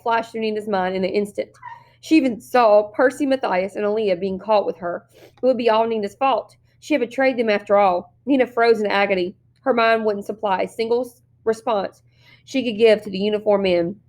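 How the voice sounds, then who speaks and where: Female story reader for novel narration, mid-range voice, expressive delivery. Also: mid-range voice.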